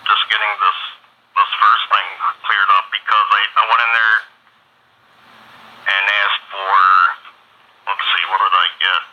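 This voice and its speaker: A man conducting an informal phone interview, asking questions in a halting, repetitive way. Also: fairly low pitch. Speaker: interviewer, community watch member. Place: telephone call. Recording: band-limited phone call with faint noise.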